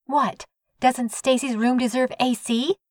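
A man voicing a brash, sharp-tongued young woman neighbor in an audiobook, scolding and sarcastic. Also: extremely high pitch, steady tone, a little slow, no echo, clean studio sound, pitched above the performer's own voice. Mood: indignant. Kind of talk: audiobook reading.

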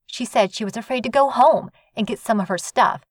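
A man's voice: very high voice, fairly quick, no echo, studio quality, pitched above the performer's own voice. A man doing a sarcastic, scolding young woman. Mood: indignant. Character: brash sharp-tongued young woman neighbor. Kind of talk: audiobook reading.

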